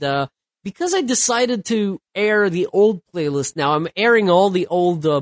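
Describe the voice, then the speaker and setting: A man's voice: on the high side, a bit bright, no echo, studio quality. A man in his thirties hosting his own podcast alone late at night. He is stuffed up from a cold and rambles loosely, with many restarts and fillers. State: stuffed up, getting over a cold. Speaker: podcast host.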